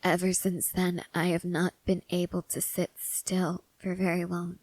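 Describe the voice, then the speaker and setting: A woman playing a haunted, restless young woman soldier in an intimate ASMR character roleplay, speaking haltingly and broodingly with pauses. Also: lowish voice, monotone, a little mellow, no room sound, rough recording. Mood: wistful. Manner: slow, halting, brooding delivery with long pauses.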